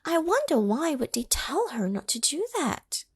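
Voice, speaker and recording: A woman reading a picture book aloud to children, pausing to ask them questions and sometimes dropping to a whisper. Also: high voice, no room sound. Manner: animated, asks the listening children questions; drops into whispers for effect. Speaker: children's story reader. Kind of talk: read-aloud storytime for children.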